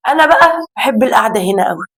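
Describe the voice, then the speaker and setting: A woman with an Egyptian accent, hoarse because her voice is going, chatting casually to her viewers at home in a family vlog. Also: a little high, expressive, racing, a bit bright. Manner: chatty, addressing viewers directly. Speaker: vlogger. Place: home kitchen and sitting area.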